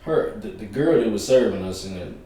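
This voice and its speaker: A man hosts a podcast in a low voice, telling stories at an easy pace. He sounds far from the mic in a very echoey room with faint hiss. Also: a little fast. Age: 30s. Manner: relaxed, storytelling with quoted voices. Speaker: podcast host. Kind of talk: casual chat. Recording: roomy echo, distant mic, faint hiss.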